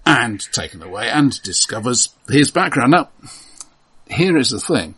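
A man co-hosting a casual film discussion, explaining in a halting, considered way. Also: mid-range voice, very animated, a bit bright, slightly rough. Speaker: podcast co-host.

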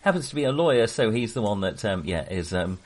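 A man's voice: on the low side, big pitch swings, quick pace, a little mellow. A man hosting a casual film discussion, speaking hesitantly and often correcting himself as he digresses. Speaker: podcast host.

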